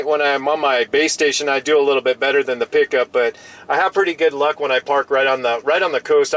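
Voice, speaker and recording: A man's voice: mid-range voice, a bit bright, rough recording. A friendly, chatty man talking casually in radio operator jargon from a parked pickup truck, often repeating himself.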